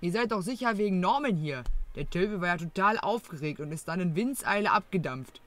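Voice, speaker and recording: A woman's voice: fairly low pitch, expressive, fairly quick, natural voice. A performer voicing a blunt, colloquial, slovenly mother of grown sons, a woman in an audio drama parody.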